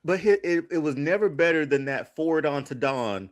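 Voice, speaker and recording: A man's voice: on the high side, animated, moderate pace. A man chatting casually as a podcast panelist, slangy and emphatic, often repeating his phrases for weight.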